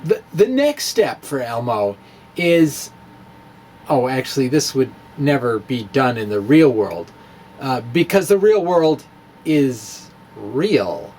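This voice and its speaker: A man giving a playful, self-mocking demonstration of math with clay and pipe cleaners. He often pauses and restarts while he works with his hands. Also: medium pitch, sing-song, a little mellow, slight background noise. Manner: wry, self-mocking, chuckles at own jokes. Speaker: physics blogger demonstrating math.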